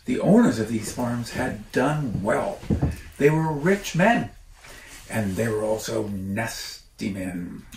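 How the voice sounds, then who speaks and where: An older man reads a children's book aloud in a casual, unhurried way, breaking off for chatty asides. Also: mid-range voice, a little mellow. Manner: informal, unhurried, commenting as he reads. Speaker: storyteller reading a children's book. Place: living room by a stone fireplace. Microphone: camera a few feet away. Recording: roomy, distant mic, faint noise.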